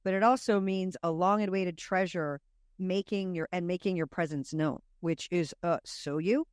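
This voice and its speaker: An enthusiastic woman co-hosting a podcast, chatting casually and reeling off lists. Her voice is smooth and clear. It comes across dry and close to the mic. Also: lowish voice, no echo. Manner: enthusiastic, lists things off in quick runs. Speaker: podcast co-host. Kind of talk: casual chat.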